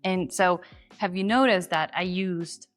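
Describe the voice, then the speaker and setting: A non-native English-speaking woman coach talks in a lively, casual teaching style. Her voice sits a little low for a woman. Soft music plays faintly under her. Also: unhurried. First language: not English. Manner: chatty and animated, often acts out example voices. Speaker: confidence coach and host.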